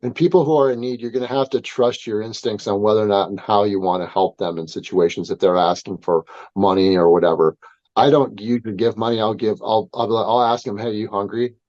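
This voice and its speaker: A man's voice: lowish voice, steady tone, fairly quick, darkish tone, dry recording, muffled recording. A man guest on a podcast, explaining self-defense advice in an earnest, conversational way. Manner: earnest, explains with hypothetical quotes.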